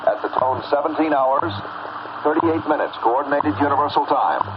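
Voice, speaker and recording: A man's voice: fairly high pitch, normal speed. A man making a formal time announcement as a radio time signal announcer. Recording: muffled, noisy shortwave radio reception.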